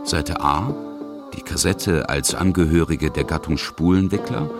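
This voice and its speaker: A man's voice: very deep voice, lively intonation, a little slow, creaky. A man reading scripted narration for a radio documentary. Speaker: feature narrator. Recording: loud music under narration.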